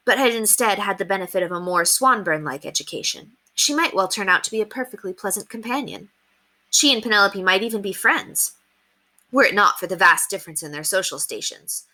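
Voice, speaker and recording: A woman reading a children's book aloud as an expressive storyteller. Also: fairly low pitch.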